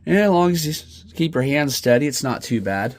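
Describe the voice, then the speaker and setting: A man's voice: on the high side, fairly quick. A man with a head cold and a slightly nasal voice, chatting casually as an RC hobby host about his build. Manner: relaxed, chatty, with fillers and restarts. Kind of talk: casual how-to build commentary. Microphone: phone camera.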